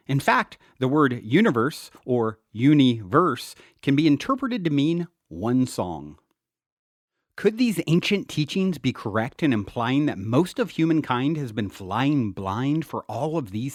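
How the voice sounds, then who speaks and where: A man's voice: a little high, dry recording, clean studio sound. A man reading his own book aloud as scripted narration, in an earnest, emphatic manner.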